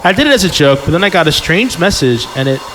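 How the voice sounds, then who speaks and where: A man's voice: on the high side, sing-song, fast talker, fairly bright tone, a little hiss or hum, low-quality recording. A man reading a story aloud informally as a podcast host, stumbling over lines and tossing in joking asides.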